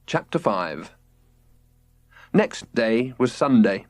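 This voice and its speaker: A man narrating a children's audiobook in an expressive, scripted storytelling style. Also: mid-range voice, slow, dry recording.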